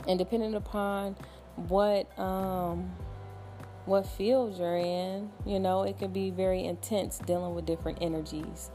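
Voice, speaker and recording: Soft-voiced woman hosting a late-night podcast. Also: mid-range voice, measured pace, faint music behind. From Cincinnati, Ohio. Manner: relaxed, chatty, with frequent fillers and soft laughs. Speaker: podcast host. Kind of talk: casual solo podcast talk. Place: at home at night.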